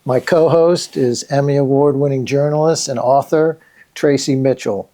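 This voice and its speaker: A middle-aged man with an American accent hosting a podcast conversationally, somewhat halting and repeating words. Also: medium pitch, mellow. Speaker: podcast co-host, company president.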